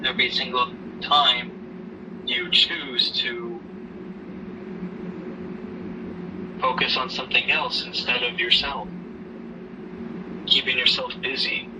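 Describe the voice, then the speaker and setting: A man playing a grieving widowed father who gently counsels his daughter in a halting, measured way in an amateur audio drama. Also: little pitch movement, close to an everyday voice. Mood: gently advising. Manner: halting, subdued, measured delivery. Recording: noisy rumbling background, distant mic.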